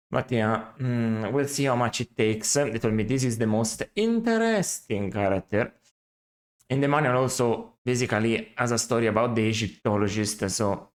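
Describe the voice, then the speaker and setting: A man streaming a retro game, chatting casually and musing aloud, often correcting himself and adding critical asides. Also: medium pitch.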